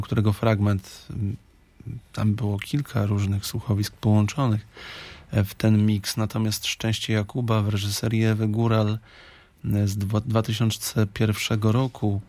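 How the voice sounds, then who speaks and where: A man speaking as a radio interview guest in a studio, reflective and halting in delivery. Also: lowish voice, darkish tone.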